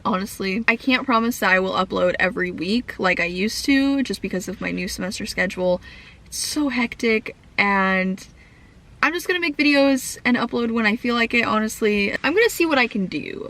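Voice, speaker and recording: Croaky young woman rambling to camera. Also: a little high, a bit bright. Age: young adult. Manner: rambling, lots of fillers. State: croaky from a lingering cough. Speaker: vlogger. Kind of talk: casual chat to camera.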